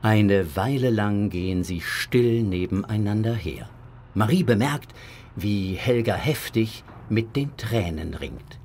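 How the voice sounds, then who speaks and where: A man narrating a children's audio drama with a husky voice and dramatic, suspenseful delivery. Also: on the low side, expressive, slow, darkish tone, slight background noise. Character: unhurried storyteller narrating a children's adventure.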